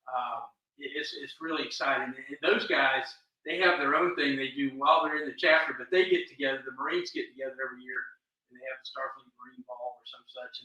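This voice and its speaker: A man's voice: mid-range voice, sharp tone. A man chatting casually as a guest on a show. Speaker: fan club chapter officer, show guest. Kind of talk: casual chat. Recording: very echoey room, far from mic.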